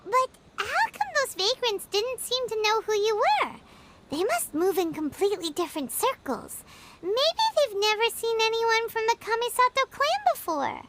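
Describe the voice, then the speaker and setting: A woman voicing a tiny, cheerful, outspoken fairy girl in a video game, with a squeaky, childlike voice. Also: sing-song, moderate pace, background noise. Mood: curious, friendly. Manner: perky, refers to self in third person. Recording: loud game music behind.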